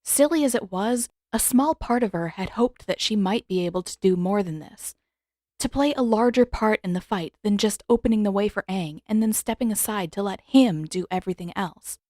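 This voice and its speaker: Woman reading a story aloud, measured n expressive, mid pitch, dry close sound. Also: dry recording. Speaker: narrator. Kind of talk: scripted fan fiction narration.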